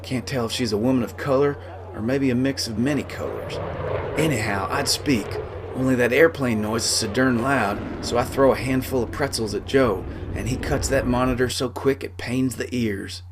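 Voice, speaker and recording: A man's voice: mid-range voice, moderate pace, noisy background. A man reading a first-person novel as a folksy cowboy storyteller, in rustic dialect. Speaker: author reading his own novel.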